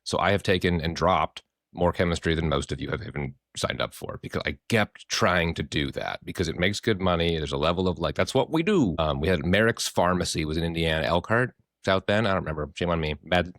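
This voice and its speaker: A man from the American Midwest, a therapist co-hosting a podcast, talking in a casual, expressive, rambling way with a hoarse voice and frequent swearing. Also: sits low, no room sound, studio quality. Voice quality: hoarse from screaming.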